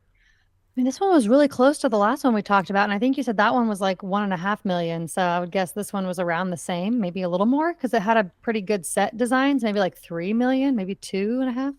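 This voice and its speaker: A woman of middle pitch speaking calmly and a little wearily as she chats about films on a podcast. Her voice is recorded dry, with no room sound around it. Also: brisk, no echo. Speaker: podcast co-host. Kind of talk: casual film podcast chat.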